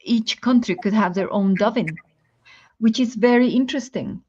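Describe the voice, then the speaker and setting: A woman's voice: fairly high pitch, normal speed, dark tone, husky, highs cut off. A woman who teaches Spanish, speaking English with a Spanish accent and chatting casually as a guest, thinking aloud with many ums. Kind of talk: casual chat on a live stream.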